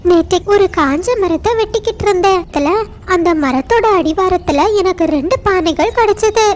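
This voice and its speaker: Very high-pitched eager little cartoon bird played by a woman. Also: animated, moderate pace. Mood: persuasive. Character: small female cartoon bird, eager and talkative. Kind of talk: children's cartoon dubbing.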